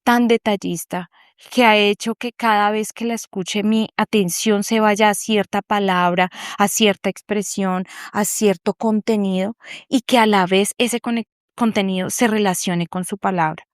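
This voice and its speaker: A woman's voice: mid-range voice, fairly even intonation, unhurried, no room sound, clean studio sound. Earnest woman sharing a faith testimony. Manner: earnest, reflective, searching for words. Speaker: group member sharing a testimony. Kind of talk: personal faith testimony in an online meeting. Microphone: video call.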